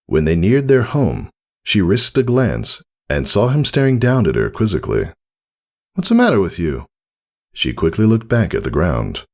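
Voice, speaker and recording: A man's voice: sits low, huge pitch swings, moderate pace, vocal fry, a little mellow. A man reading a story aloud as narrator in a scripted storytelling style. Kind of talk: scripted fan fiction narration.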